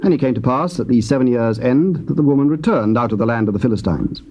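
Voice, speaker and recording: A man narrating scripture in a measured, solemn reading. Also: mid-range voice, animated, darkish tone, breathy edge, lo-fi sound.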